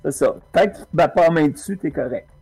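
A man, narrating calmly as game master of a tabletop game. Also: on the high side, quick pace, vocal fry, faint music behind.

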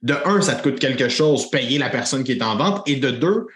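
A man with a Québécois accent co-hosting a business podcast, explaining points in a lively way with English words mixed in. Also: medium pitch, moderate pace, roomy sound. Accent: Québécois French. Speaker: podcast co-host. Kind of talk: casual business chat.

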